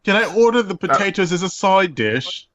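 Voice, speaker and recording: A man's voice: sits high, expressive, normal speed, fairly bright tone, dull audio. A man hosting a podcast, speaking in casual, joking chat. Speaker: podcast host.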